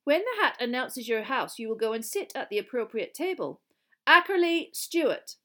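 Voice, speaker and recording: A woman's voice: on the high side, very animated, moderate pace, a bit bright, voice pushed up. A woman voicing an authoritative female professor in a storybook, giving formal, commanding instructions. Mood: brisk, commanding.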